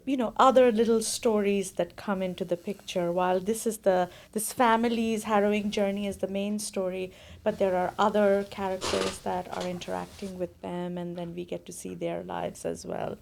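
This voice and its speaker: A woman from India, a playwright guest, earnestly explaining her play in a measured way. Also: medium pitch, little pitch movement, vocal fry, darkish tone. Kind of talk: interview answers. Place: studio.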